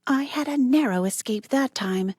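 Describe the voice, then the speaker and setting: A woman's voice: on the high side, brisk, a little mellow, no echo, voice pushed up, close to an everyday voice. A woman voicing a young girl detective who speaks anxiously and breathlessly to herself. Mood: relieved. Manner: breathless, frantic.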